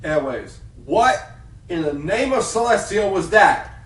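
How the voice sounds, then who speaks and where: A man playing an idealistic, excitable young pony cub reporter in a radio play, dramatic and fervent. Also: high voice, a little slow, distant mic, noisy background. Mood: excited. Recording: echoey room, off-mic.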